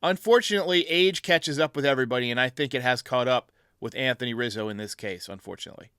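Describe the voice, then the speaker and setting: A man's voice: medium pitch, fast, fairly bright tone, smooth, dry recording, clean studio sound. A man hosting a baseball show, giving commentary in response to listeners' voicemails. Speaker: sports show host.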